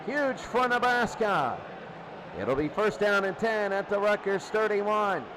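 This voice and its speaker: A man's voice: high-pitched, unhurried. An American man calling a football game play by play as a live radio announcer. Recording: noisy game broadcast with crowd roar. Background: loud stadium crowd.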